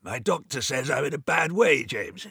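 A man voicing a gruff, ailing rich man near eighty, who grumbles and boasts in a raised, complaining voice. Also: fairly high pitch, very animated, measured pace, sharp tone, no room sound, clean studio sound, voice pushed up, exaggerated voice. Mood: querulous. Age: 80s.

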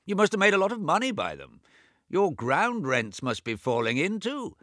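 Brief: a man playing a fussy, money-minded old man near eighty, high thin voice, quick prattle, dry sound. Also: huge pitch swings, fairly bright tone, no room sound, exaggerated voice. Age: 80s.

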